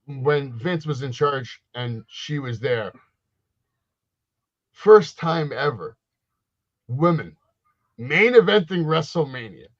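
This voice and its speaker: A man in his forties co-hosting a casual wrestling podcast, ranting loudly and slipping into mock wrestler catchphrases. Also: fairly high pitch, expressive, slow pace, pauses often, lo-fi sound. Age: 40s. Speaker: podcast co-host.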